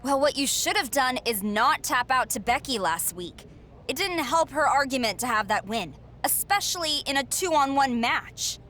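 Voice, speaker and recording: A woman's voice: sits high, expressive, moderate pace, pushed and bright, a little hiss or hum. A woman playing a cocky, sarcastic champion wrestler with a snide, self-assured manner in a video game cutscene. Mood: sardonic. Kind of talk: scripted game cutscene dialogue.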